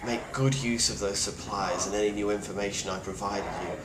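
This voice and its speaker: Male actor as weary apocalypse survivor, lowish voice, blunt and grave video testimony, noisy roomy sound. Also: steady tone, fairly quick, lightly acted. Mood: resigned. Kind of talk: in-character monologue, found-footage video diary. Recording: roomy echo with clear background noise.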